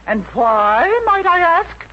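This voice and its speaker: A woman's voice: very high voice, big pitch swings, takes it slowly, exaggerated voice. A woman playing a snobbish, domineering middle-aged wife in a 1950s radio comedy, imperious and indignant. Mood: outraged. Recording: dull, hissy old 1950s radio recording.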